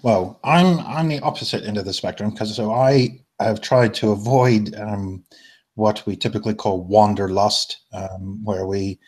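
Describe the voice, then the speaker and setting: A man co-hosting a casual podcast chat, speaking in a measured, hesitant way with frequent ums. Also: fairly low pitch, a little mellow, husky. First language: English. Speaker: podcast co-host.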